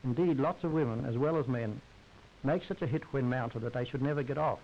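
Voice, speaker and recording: A man's voice: medium pitch, brisk. A man narrating a 1930s society newsreel in a formal, wry and droll scripted manner. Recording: hissy, muffled 1930s film soundtrack.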